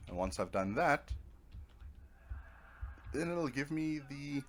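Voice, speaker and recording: A man's voice: fairly high pitch, expressive, slow pace, a little hiss or hum. A man giving casual playthrough commentary as host of a gaming video, with offhand, mildly mocking asides.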